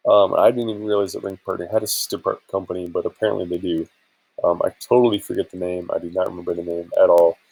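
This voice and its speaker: A man's voice: low-pitched, level tone, fast talker, creaky, a little mellow, slightly rough, poor audio. A man chatting casually in an informal, rambling and hesitant way for a screen-share vlog, sounding a bit tired. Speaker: vlogger and affiliate marketer.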